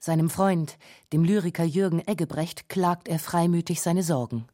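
A female documentary narrator with a very low, mellow voice. She reads scripted radio text with composed deliberation in a dry studio. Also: normal speed, no echo.

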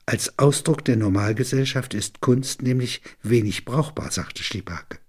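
A man's voice: mid-range voice, a little slow, husky. A man narrating in a literary register, as a reader and commentator.

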